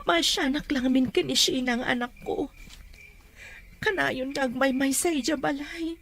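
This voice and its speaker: A woman playing a high, bright worried mother. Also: normal speed, gravelly. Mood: pleading. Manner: pleading, then heated and insistent. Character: worried, defensive mother of a young girl. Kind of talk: Ilocano comedy-drama acting.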